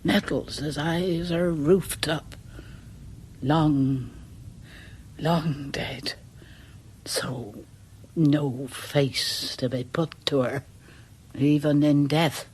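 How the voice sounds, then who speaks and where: Superstitious country cleaning lady, rural english accent, slow n halting, old-fashioned radio play, uneasy and deferential. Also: low-pitched, steady tone, darkish tone, husky, heavily acted. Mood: hushed storytelling. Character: superstitious village charwoman, rambling, deferential, uneasy storyteller. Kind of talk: radio drama acting.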